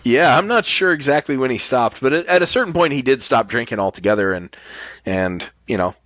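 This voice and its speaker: A man's voice: mid-range voice, expressive, fairly quick, a bit bright, no room sound. A man chatting casually as a podcast host, blunt and emphatic, swearing casually. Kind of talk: casual chat.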